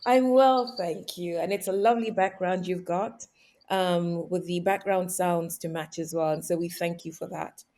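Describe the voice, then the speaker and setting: A woman hosting an interview show, introducing her guest and asking deliberate questions. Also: fairly low pitch, fairly even intonation, mellow. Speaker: podcast host.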